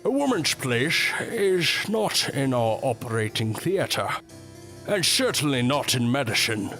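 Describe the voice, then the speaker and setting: An actor playing a pompous, domineering medical professor with an imperious manner in a Gothic audio drama. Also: male voice, medium pitch, sing-song, measured pace, a bit bright, soft background music. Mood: haughty disdain.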